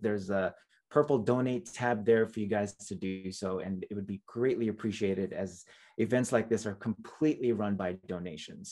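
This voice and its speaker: A man's voice: mid-range voice, darkish tone. Courteous middle-aged male event host. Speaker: emcee, host. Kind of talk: hosting a virtual awards event, speaking to viewers. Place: indoors, small room with plain backdrop.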